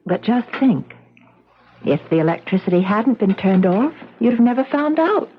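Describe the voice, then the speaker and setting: A woman's voice: medium pitch, big pitch swings, normal speed, natural voice. Actress doing uneasy small town woman near thirty, old radio play, muffled and hissy. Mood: modest, gentle. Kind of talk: 1970s radio drama acting. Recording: muffled, noisy 1970s radio broadcast recording.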